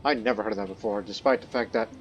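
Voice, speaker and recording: A man's voice: fairly low pitch, very fast, highs cut off, slight background noise. Rambling man narrating a strategy game. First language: not Croatian; studied Croatian as a second language. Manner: rambling, many fillers and restarts, speaks in character as his ruler. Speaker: let's play host. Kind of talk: casual gameplay commentary. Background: faint game music.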